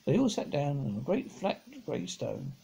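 A middle-aged man reads a children's novel aloud in a raspy, mellow voice. His pitch sits a little high and swings about freely. The delivery is halting, with stumbled and repeated words. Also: sing-song, a little hiss or hum. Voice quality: husky, gravelly. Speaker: amateur audiobook reader. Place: living room at home, on a sofa.

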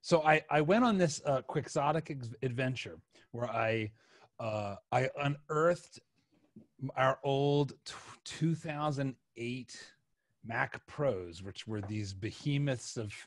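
A man's voice: medium pitch, slow pace, dry recording. A middle-aged man hosting a podcast in casual conversation, speaking into a close microphone. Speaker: podcast host. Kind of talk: casual chat. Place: small room with sofa, indoors. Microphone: desk microphone close up, headset.

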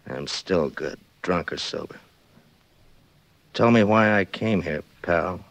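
A man's voice: sits low, slow pace, vocal fry, full character voice. An actor playing a cold, taunting gunman with an American accent and slangy tough talk, in 1940s radio style. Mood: sneering. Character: menacing bald gunman, cold small-time killer. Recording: dry 1940s radio drama recording.

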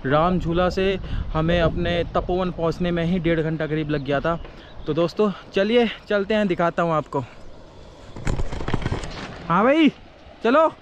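A young man with a high voice chats to his viewers about his trip. He holds the camera at arm's length outdoors, and plenty of outdoor noise runs under his voice. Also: a little slow, pauses often, dull audio. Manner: chatty, addresses viewers as friends. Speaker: vlogger. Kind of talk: casual travel vlog. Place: outdoors by a river camp in hills. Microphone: camera held at arm's length.

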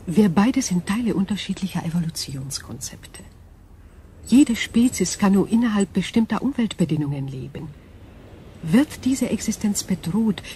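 An actress playing a calm, ancient, knowing alien being in a German sci-fi radio play, hushed and measured, detached and formal. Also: female voice, medium pitch, a little hiss or hum, close to an everyday voice.

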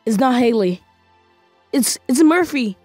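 A woman's voice: on the high side, animated, measured pace, a little mellow, no echo, faint music behind. A woman voice acting a cautious, frightened spaceship survivor in an amateur game roleplay. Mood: somber.

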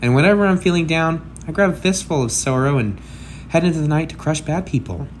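A man's voice: on the high side, a little fast, slight background noise, lightly acted. A man playing a brash, sarcastic masked antihero with a kind streak, in a theatrical comic book dub. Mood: brash, confiding.

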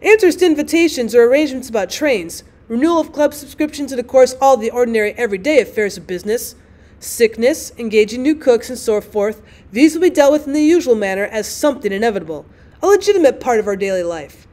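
A woman's voice: on the high side, lively intonation, fast talker, darkish tone, close to an everyday voice. Woman reading as a fussy, formal, indignant husband. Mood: resolute. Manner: formal, declamatory, literary phrasing. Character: gloomy, fussy, indignant husband, grandly pedantic.

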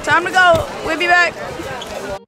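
A woman's voice: extremely high pitch, animated, slow. A woman chatting casually to her viewers as a travel vlogger, joking and talking straight to the camera outdoors. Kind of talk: casual travel vlog chat. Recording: street crowd and music behind.

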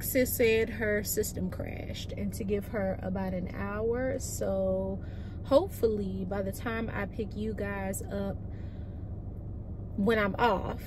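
A woman in her thirties chatting casually to camera from her car, animated and talking with her hands. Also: mid-range voice. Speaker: vlogger. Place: parked car, daytime. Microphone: phone on the dashboard. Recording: loud car and traffic noise. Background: car and road noise.

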